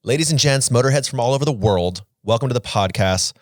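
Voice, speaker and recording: A man's voice: mid-range voice, expressive, quick pace, no room sound, studio quality. A man co-hosting a podcast, joking and playful in casual chat. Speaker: podcast co-host.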